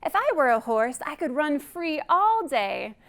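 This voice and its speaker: Woman voicing a high, wistful farm animal. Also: very animated, unhurried, a bit bright, heavily acted. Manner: singsong, rhyming, wishful. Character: wistful storybook farm animals longing to be different.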